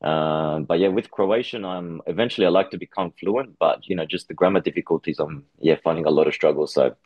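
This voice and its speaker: Low-voiced man, dull lo-fi audio. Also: brisk, dry recording. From Australia. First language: Japanese. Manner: hesitant, restarts phrases often. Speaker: guest. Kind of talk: casual interview over a call.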